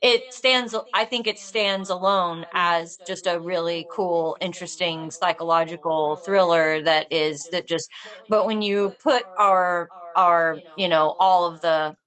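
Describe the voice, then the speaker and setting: A woman talking casually in a panel discussion, thinking aloud in a halting, rambling way. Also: fairly low pitch, steady tone, fairly bright tone, poor audio. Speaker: filmmaker discussing own script.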